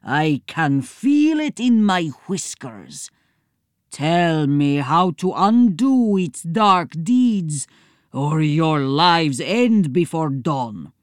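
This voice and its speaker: A woman voicing a pompous, menacing male lord of thieves with a booming Eastern European accent, in a storybook reading. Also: big pitch swings, drawn-out words, no echo, clean studio sound, exaggerated voice. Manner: pompous, theatrical.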